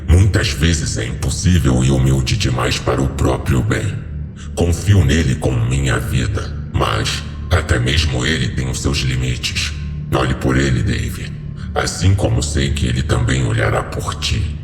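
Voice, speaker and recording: An ancient fallen lord of demons speaks from a cavernous, long reverb. The voice is abyssally low and full of gravel, solemn and commanding, every phrase a stately pronouncement for a horror audio story. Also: male voice, exaggerated voice. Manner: solemn, stately, portentous delivery. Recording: heavily reverberant voice over music.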